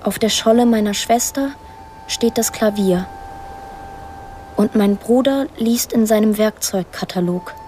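An actress voicing a lonely, imaginative eight-year-old girl in a radio drama monologue, plain, deliberate and earnest. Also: medium pitch, mellow, a little hiss or hum, close to an everyday voice. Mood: wistful, matter-of-fact.

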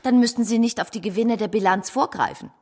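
A practical, questioning woman in a radio drama. Her voice sits a little high and has a husky grain. She speaks pointedly, wary and sensible. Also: brisk, darkish tone. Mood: reasonable, prodding. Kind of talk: German radio drama acting.

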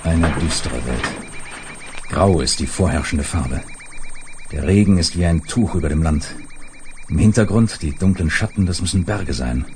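Deep-voiced, grave spaceship commander played by a man. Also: a little mellow, a little hiss or hum, lightly acted. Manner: measured, authoritative, deliberate. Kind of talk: 1970s German science fiction radio play acting.

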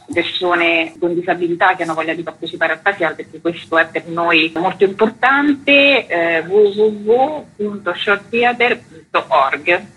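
A woman describes her theater festival on the radio with evident enthusiasm. Her voice is bright and forward but breathy and husky, carried over a radio broadcast. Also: fairly low pitch, poor audio. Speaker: artistic director of a theater festival, guest. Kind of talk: radio interview.

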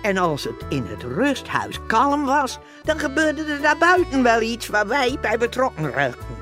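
A woman narrating a comic radio serial. She tells the tale in a mid-pitched, very animated voice. Music plays clearly behind her. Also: measured pace. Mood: amused recollection. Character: woman storyteller recalling rest-home adventures. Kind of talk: scripted narration in a radio comedy.

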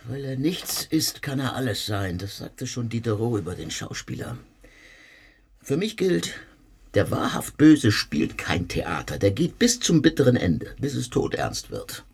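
An actor playing a sardonic intellectual gentleman in a radio play, pointed, sententious and ironic. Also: medium pitch, big pitch swings, unhurried, gravelly, breathy edge, full character voice.

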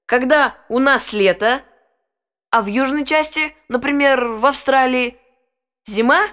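An eager, pondering child with a high voice. The child reasons aloud and asks inquisitive questions in an educational audio drama. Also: female voice, unhurried, fairly bright tone. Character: thoughtful, eager child learning from father.

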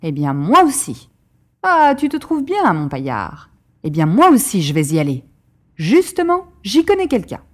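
A woman's voice: medium pitch, huge pitch swings, normal speed. A woman plays a mischievous, worldly society madame from Paris. Her delivery is theatrical and mocking, swinging freely up and down as she orders and schemes in an audio drama. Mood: piqued, scheming. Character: flighty, mischievous, worldly Parisian mistress of the house.

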